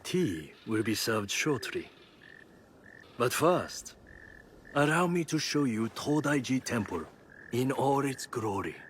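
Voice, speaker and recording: A slow, courteous temple host. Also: male voice, medium pitch, sing-song, a little hiss or hum. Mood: gracious. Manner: polite, formal, slow. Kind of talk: video game cutscene acting.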